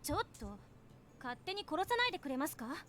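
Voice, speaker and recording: An actress playing a sharp, indignant and curious young female scientist in a visual novel. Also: sits high, very animated, rapid-fire. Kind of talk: Japanese visual novel voice acting.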